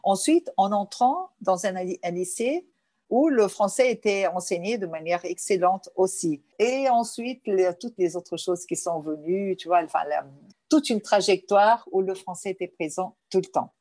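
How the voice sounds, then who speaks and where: A woman's voice: medium pitch, lively intonation, measured pace, mellow, no echo. Woman. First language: Portuguese. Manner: searching for words, many restarts. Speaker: guest. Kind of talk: interview answers.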